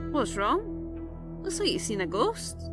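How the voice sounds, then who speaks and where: Woman acting chatty young gal in an audio drama, really high voice, teasing, music under it. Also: big pitch swings, takes it slowly, full character voice. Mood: puzzled, concerned. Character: friendly, chatty young woman of a ruined tower community.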